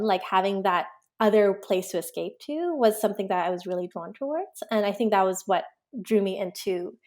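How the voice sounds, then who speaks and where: A young woman from Pakistan, an author and illustrator, answering interview questions in a relaxed, reflective way with many ums and likes. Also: medium pitch. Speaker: guest, children's book author and illustrator.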